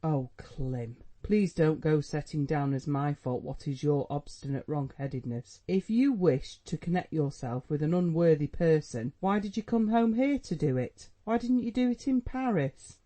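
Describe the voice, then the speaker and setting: A man's voice: a little high, mellow, close to an everyday voice. A man reading a novel aloud, voicing a proud, anxious middle-aged mother who scolds her son and pleads with him. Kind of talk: audiobook dialogue reading.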